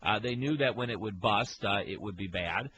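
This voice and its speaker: A man hosts a call-in radio show from his home studio, his voice bright and a bit sharp. He talks in a quick, chatty run, full of fillers and restarts. A faint hiss sits underneath. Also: fairly low pitch. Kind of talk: casual call-in talk radio.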